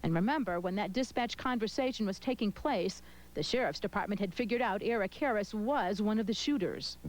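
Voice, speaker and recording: A woman's voice: mid-range voice, a little fast, rough recording. A woman with an American accent delivering a broadcast news report as a television reporter.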